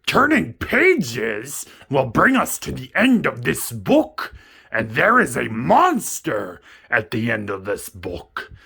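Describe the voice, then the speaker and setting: A man voicing a lovable, easily frightened furry monster in a children's storybook puppet show, with a raspy voice and melodramatic delivery. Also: a little high, sharp tone, full character voice. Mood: pleading, distressed. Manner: melodramatic, drawn-out, emphatic delivery without contractions.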